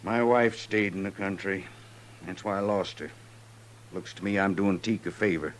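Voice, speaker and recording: A fairly deep American male voice of a hard, self-satisfied cattle baron, acted by a man. He deals sharp and will not bend, in the manner of vintage radio western drama. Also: fairly even intonation, normal speed, slight background noise, lightly acted. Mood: smug, unyielding. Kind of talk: old-time radio drama acting.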